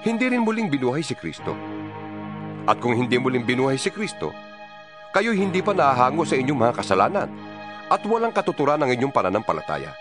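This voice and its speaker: A man giving a solemn, emphatic dramatized reading of scripture, with deliberate pauses. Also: medium pitch, big pitch swings. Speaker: Bible reader. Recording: music bed under the voice.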